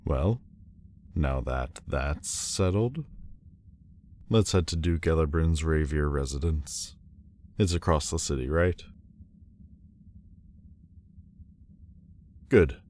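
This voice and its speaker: Man as demon lord, extremely low voice, guarded, vulnerable, formal halting speech, intimate roleplay, clear ambient noise behind. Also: a little mellow. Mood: awkward, guarded. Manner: halting, stiffly formal, wry, self-correcting. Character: proud, wounded demon lord, male, guarded yet vulnerable. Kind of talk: intimate audio roleplay acting. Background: ambient sound effects.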